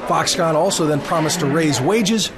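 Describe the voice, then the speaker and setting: A man's voice: a little high, moderate pace, crowd noise. A man reading scripted news narration as a television reporter.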